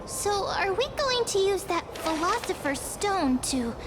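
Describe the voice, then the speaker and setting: A woman voicing a gentle, curious young girl in a video game, with a hesitant manner. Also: very high-pitched, very animated, measured pace, full character voice. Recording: loud music under the voice.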